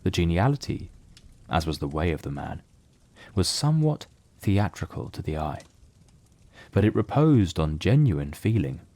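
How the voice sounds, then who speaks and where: A man narrating a literary mystery as scripted audio drama, in a measured, solemn storytelling manner. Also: low voice, very animated, mellow.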